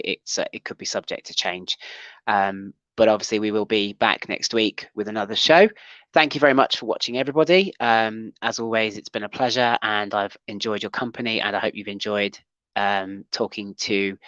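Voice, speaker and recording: A man's voice: lowish voice, a bit bright, no echo, lo-fi sound. A man hosting a casual livestream chat show, talking with many ums and restarts. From Wales. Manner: hesitant, full of ums and restarts.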